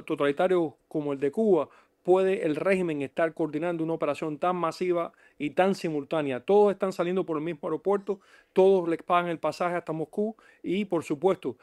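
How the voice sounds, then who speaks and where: A man's voice: a little high, a little mellow, dry recording, clean studio sound. A man speaking as an expert guest, giving emphatic, rhetorical political commentary in an interview.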